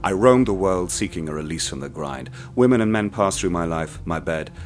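An actor narrating as a cold, self-satisfied killer, confessing in a slow, brooding way. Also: male voice, sits low, animated, a little hiss or hum. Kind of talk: dark first-person narration in an audio drama.

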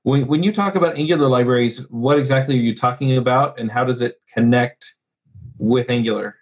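A man's voice: mid-range voice, a little fast, low-quality recording. A man hosting a tech podcast, chatty and friendly, who laughs easily. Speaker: podcast host.

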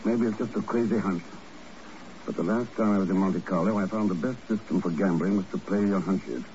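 Actor as a wry low-voiced secret agent. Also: male voice, a little fast, a little mellow, lightly acted. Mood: wry, skeptical. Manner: dry, understated, wry. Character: shrewd, wry secret agent following his hunches. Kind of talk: 1950s radio drama acting. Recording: muffled, noisy old radio recording.

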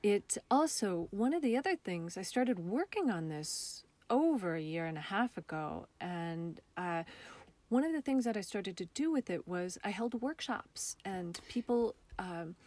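A woman being interviewed as a theatre artist, speaking in a reflective, expressive, conversational storytelling way. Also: mid-range voice, measured pace, no room sound.

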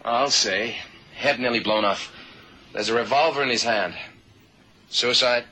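An actor playing a detective's eager American sidekick in an old radio drama, with a folksy, exclaiming way of talking. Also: male voice, medium pitch, unhurried, pushed and bright, rough recording. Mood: shocked. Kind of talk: 1970s radio drama acting. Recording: faint hiss, old radio recording.

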